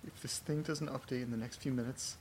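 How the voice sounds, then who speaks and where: An actor playing an anxious young man alone in a creepy house, talking haltingly to himself in a horror audio drama. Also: medium pitch, fairly even intonation, a little hiss or hum, natural voice. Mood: uneasy. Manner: halting, muttering to himself, swearing. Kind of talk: horror audio drama, first-person monologue.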